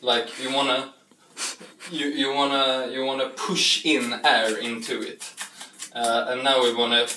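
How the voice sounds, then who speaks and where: A man's voice: medium pitch, little pitch movement, measured pace, sharp tone, slight background noise. A young man hosting a casual home cooking vlog, talking in a rambling, playful way with stumbling restarts while he works at the sink. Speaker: cooking show host. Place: small home kitchen, indoors. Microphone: camera mic from across the room. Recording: echoey kitchen, distant from mic.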